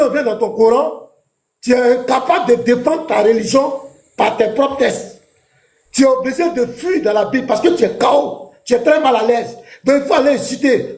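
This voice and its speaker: A man's voice: very high voice, darkish tone. A man, a pastor in a heated live debate, taunting his opponent with combative, repeated jabs. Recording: echoey room.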